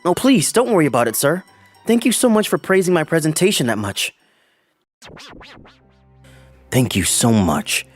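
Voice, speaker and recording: A man's voice: on the high side, very animated, fairly quick, faint music behind. A man playing a polite, earnest young businessman who narrates his own story in a manga dub. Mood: grateful, eager.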